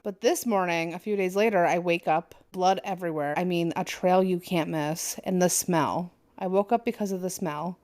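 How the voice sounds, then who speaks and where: A woman's voice: lowish voice, a little mellow. A woman co-hosting a casual podcast, reading an online post aloud with little asides and stumbles. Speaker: podcast co-host.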